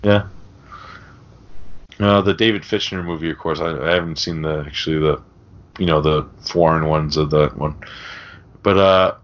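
A man's voice: a bass voice, steady tone, normal speed, pauses often. A man co-hosting a podcast, discussing film casually in a rambling, sweary, conversational way. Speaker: podcast co-host. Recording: dull, lo-fi podcast audio.

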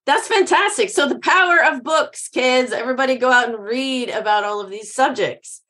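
A woman's voice: sits high, normal speed, fairly bright tone. A woman hosting a science podcast for kids, enthusiastic and exclamatory. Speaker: podcast host. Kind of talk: upbeat kids' podcast hosting.

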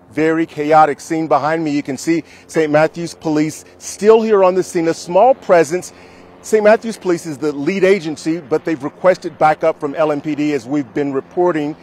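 A man's voice: on the high side, darkish tone. A man in his thirties, a field reporter speaking into a handheld mic outdoors, delivering the news earnestly. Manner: earnest, emphatic live delivery. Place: outdoors in a mall parking lot.

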